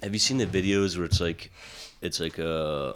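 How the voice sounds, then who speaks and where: A man co-hosting a casual podcast, speaking in halting, hesitant phrases. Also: low voice, a bit bright, a little hiss or hum. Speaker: podcast co-host.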